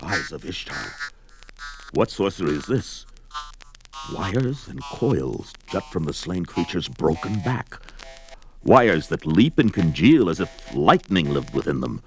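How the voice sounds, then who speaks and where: An actor playing a fierce, brooding barbarian warrior in theatrical, declamatory 1970s audio drama style, talking aloud to himself. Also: male voice, low voice, big pitch swings, slow pace, soft background music, full character voice, poor audio. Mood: wary, musing.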